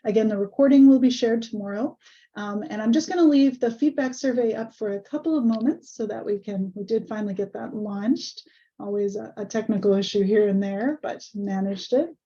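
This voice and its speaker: A woman hosting an online webinar over a video call, a little hoarse, friendly and full of ums. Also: medium pitch, brisk, dark tone. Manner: friendly, many ums and uhs. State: slightly hoarse. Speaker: webinar host. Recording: muffled video call audio.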